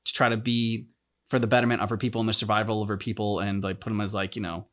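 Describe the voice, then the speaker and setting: A man in a casual discussion, talking as a reaction show host in a halting, rambling way as he thinks aloud. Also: lowish voice, little pitch movement, fairly bright tone. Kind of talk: casual discussion of video game story.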